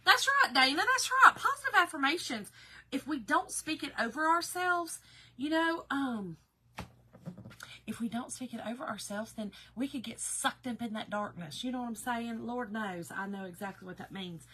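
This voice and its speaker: A middle-aged woman from Georgia with a Southern accent hosts a live craft stream, greeting viewers in a folksy, chatty way. Her voice sits high and sounds very bright and forceful. It carries a slight hoarseness. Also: expressive, normal speed, low-quality recording. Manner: folksy and chatty, calling viewers "girl" and "y'all". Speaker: craft livestream host.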